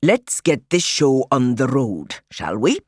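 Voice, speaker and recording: A woman's voice: a bass voice, very animated, takes it slowly, darkish tone, husky, no room sound, studio quality, exaggerated voice. A woman voicing a fussy, flamboyant, grandfatherly man in his seventies for a children's audiobook, with precise, courteous phrasing. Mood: brisk, conspiratorial. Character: spry, theatrical, grandfatherly immortal old man, genteel godfather.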